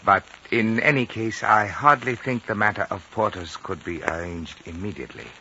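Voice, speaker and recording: Very deep, courteous lamasery official. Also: male voice, sharp tone. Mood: measured, evasive. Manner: formal, measured, ceremoniously polite. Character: courteous, evasive Chinese male lamasery official, soft-spoken diplomat. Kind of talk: 1950s radio drama acting. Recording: dull, noisy 1950 radio recording.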